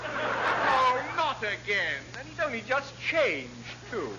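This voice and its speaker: An actor playing a correct, long-suffering junior naval officer, dry and fussy, in classic radio comedy. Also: male voice, very high voice, sing-song, takes it slowly, muffled recording, full character voice. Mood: exasperated. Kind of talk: classic radio sitcom acting. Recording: very noisy old radio comedy recording.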